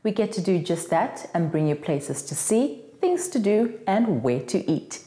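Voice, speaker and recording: Female TV presenter, dark mellow voice, scripted links in a roomy acoustic. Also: fairly low pitch, sing-song, measured pace. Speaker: TV show presenter. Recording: roomy, echoing sound.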